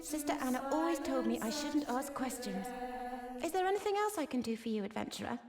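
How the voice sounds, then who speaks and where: An actress voicing an eager, naive young novice nun in a video game, speaking in a hesitant, earnest, apologetic way. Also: female voice, high-pitched, animated, fairly quick, over loud music. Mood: excited, apologetic. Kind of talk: voiced video game dialogue.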